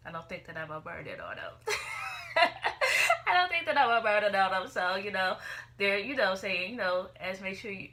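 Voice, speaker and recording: A young woman chats loosely to her camera, drifting from thought to thought. Her voice sits a little low but sounds bright and forward. A faint hiss of room noise stays in the background. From Chicago, USA. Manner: rambling and chatty, full of "you know" and "like". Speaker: vlogger. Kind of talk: casual chat to camera.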